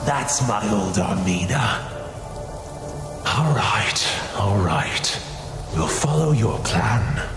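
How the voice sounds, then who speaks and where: A man's voice: lowish voice, animated, extremely slow, long pauses. A man playing an arrogant, battle-hungry warrior in a video game cutscene, boastful and taunting in a theatrical way. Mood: grudging. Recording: echoey hall reverb with music behind.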